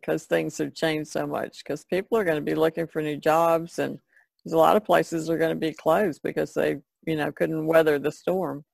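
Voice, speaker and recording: Woman, podcast panelist, intensely gravelly fry, conversational, dry close sound. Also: low voice, level tone, moderate pace, darkish tone, dry recording. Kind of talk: casual podcast chat.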